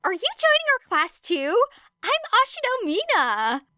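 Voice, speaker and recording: A woman plays a gushing teenage schoolgirl in an audiobook. Her voice is high and bright, swooping wildly with excitement, and recorded dry. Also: measured pace, no echo, heavily acted. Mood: excited, friendly. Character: bubbly, loud, bright pink teenage girl student. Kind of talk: audiobook character voice.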